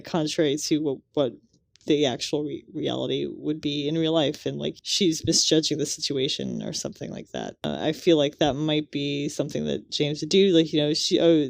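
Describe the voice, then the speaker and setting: A woman's voice: sits low, gravelly, darkish tone, dry recording. A woman co-hosting a podcast, musing casually through a book in a rambling way. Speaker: podcast co-host.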